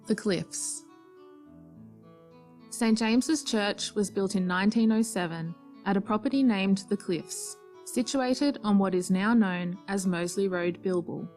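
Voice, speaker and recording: A woman with a medium-pitched voice acts as narrator. She reads a scripted historical account for a radio drama. Gentle music plays underneath. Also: measured pace.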